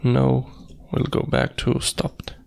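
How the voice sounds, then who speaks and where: A man with a heavily creaky, gravelly voice and a middling pitch walks through a screencast tutorial. He thinks aloud as he works, speaking in halting pieces and sometimes half murmuring to himself. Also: monotone, darkish tone. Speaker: coding tutorial presenter. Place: indoors at a computer, screen recording.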